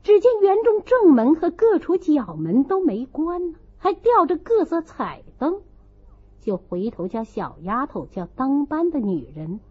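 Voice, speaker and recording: A woman reading a classical novel as an audiobook narrator, in an expressive storytelling style. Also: high-pitched, measured pace, lo-fi sound.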